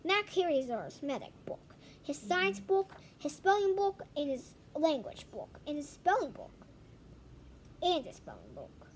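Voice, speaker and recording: A woman's voice: sits high, wildly swooping pitch, measured pace, a little hiss or hum. A female amateur reading a children's storybook aloud, stumbling and repeating phrases as she goes. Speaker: reader of a children's book.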